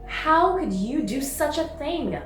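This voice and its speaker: A woman storyteller voicing a furious, indignant mother who exclaims in a sharp, scolding manner. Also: high-pitched, sing-song, slow pace, voice pushed up, heavily acted. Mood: outraged. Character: furious, indignant mother betrayed by her sister. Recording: roomy, distant mic, music behind.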